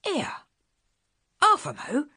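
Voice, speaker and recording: A woman's voice: high voice, huge pitch swings, slow, pushed and bright, no echo, clean studio sound, voice pushed up, full character voice. A woman voicing a blunt, plucky young cockney sailor with a cheeky, slangy way of talking. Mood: exasperated.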